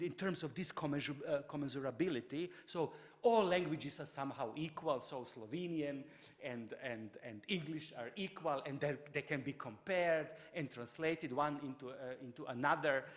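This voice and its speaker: Halting man lecturing in a hall. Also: a little high. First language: Croatian. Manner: halting, many fillers and restarts. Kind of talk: public lecture.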